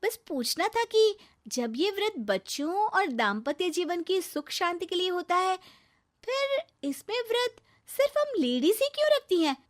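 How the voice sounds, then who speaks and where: A woman voicing an outspoken, questioning young bride in an animated moral story, earnest and emphatic. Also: very high voice, measured pace, voice pushed up, heavily acted. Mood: earnestly questioning.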